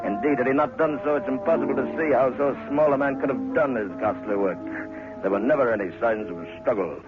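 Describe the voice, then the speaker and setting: A man's voice: medium pitch, brisk, lightly acted. Man playing a genial, macabre museum manager, muffled radio. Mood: relishing, macabre. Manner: genial, chatty, tags remarks with "eh?" and "huh?". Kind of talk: old radio horror drama acting. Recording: muffled old radio recording with music.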